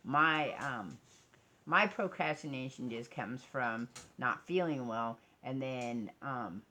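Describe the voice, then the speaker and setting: An American woman with a low-pitched voice talks casually to her vlog audience. She is chatty and self-deprecating, drifting between asides. The home recording carries faint background noise. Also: expressive, takes it slowly, sharp tone. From United States. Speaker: vlogger and crafter. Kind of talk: casual rambling vlog to camera. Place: indoors at a craft table.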